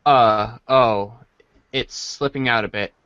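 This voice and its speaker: A man's voice: medium pitch, steady tone, slow, fairly bright tone, smooth, dry recording, dull audio. A man reading a comic story aloud as part of a podcast reading group. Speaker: podcast reader. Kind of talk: dramatic reading of a webcomic aloud.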